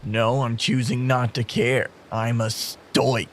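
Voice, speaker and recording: A man's voice: medium pitch, little pitch movement, slow pace, fairly bright tone, a little hiss or hum. A man playing an earnest, questioning and sarcastic police officer in a naturalistic audio drama. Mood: disappointed, sarcastic. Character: restless, questioning male beat cop, earnest and sarcastic.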